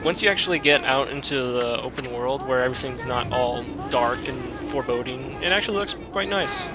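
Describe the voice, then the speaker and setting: A man's voice: medium pitch, a little fast, heavy background noise. A man giving casual, rambling commentary on a video game, with joking, sarcastic asides. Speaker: let's play host. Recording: loud game music behind. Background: video game music.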